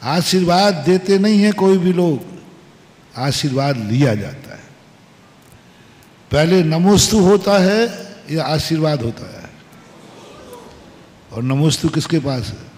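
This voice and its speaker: Slow, echoing preaching monk. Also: male voice, a little high, animated, darkish tone. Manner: slow, emphatic preaching with rhetorical questions and repeated maxims. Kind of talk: sermon. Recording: long hall reverb.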